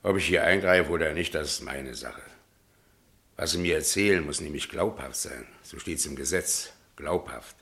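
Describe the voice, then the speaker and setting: An actor playing a brusque, skeptical police inspector with an officious, dismissive manner in a German radio play. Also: male voice, very deep voice, moderate pace, a bit bright. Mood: stern, officious.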